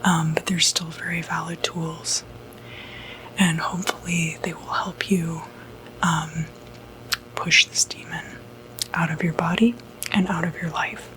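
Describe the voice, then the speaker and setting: A reassuring doctor , played by a woman, whispers through an ASMR medical roleplay. The delivery is breathy, low and very unhurried, with soft, hesitant phrasing. A light noise sits behind the voice. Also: little pitch movement, low-quality recording. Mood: calm, explanatory. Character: gentle, reassuring doctor who treats demonic possession.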